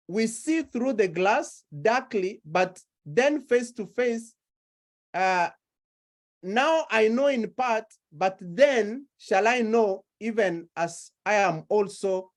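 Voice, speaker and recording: A woman preaching a sermon, slow and deliberate, building her points through repeated phrases. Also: medium pitch, lively intonation.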